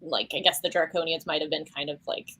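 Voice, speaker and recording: A young woman co-hosting a live book chat, animated and quick to laugh. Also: low-pitched, husky. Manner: animated, laughs easily, swings up and down. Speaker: book club co-host. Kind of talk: casual live book discussion.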